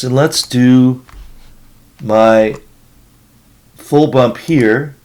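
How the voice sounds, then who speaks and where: A man with a hoarse voice from being sick, casually walking through a photo edit step by step as a tutorial host. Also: mid-range voice. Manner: casual, rambling, talks through each step. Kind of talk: screen-recorded editing tutorial.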